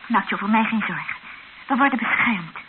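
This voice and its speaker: A woman's voice: medium pitch, expressive, moderate pace, lightly acted. An actress playing a resolute, fatalistic woman in danger in a radio drama. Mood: tense, resolute. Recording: hissy, narrow-band old radio recording.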